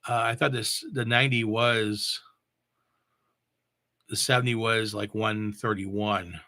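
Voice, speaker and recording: A man giving casual, unscripted product talk as a gear reviewer, halting and repeating himself as he thinks aloud. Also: on the low side, flat delivery, bright, forceful tone. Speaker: mobile DJ reviewing audio gear.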